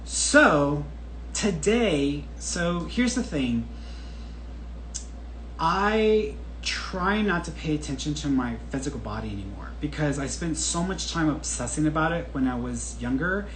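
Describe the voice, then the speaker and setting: A man in his late thirties talks casually and candidly to his audience, restarting sentences as he goes. His voice sits a touch high for a man. The room is echoey, with a faint hiss of noise behind him. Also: a bit bright. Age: 30s. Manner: chatty and candid, with frequent restarts and laughs. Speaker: podcast host answering viewer questions. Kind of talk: casual solo talk.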